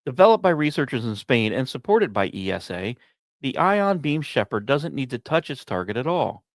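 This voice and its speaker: A man's voice: mid-range voice, big pitch swings, normal speed, no room sound, clean studio sound. A man hosting a podcast, reading scripted science commentary with emphatic, dramatic phrasing and informal asides. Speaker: podcast host.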